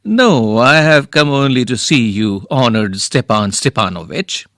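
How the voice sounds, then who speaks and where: A man's voice: medium pitch, expressive, unhurried, a bit bright, no room sound, studio quality. A man voicing a nervous, stammering young suitor who keeps stumbling over his words, reading a play aloud. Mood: flustered. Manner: stammering, flustered.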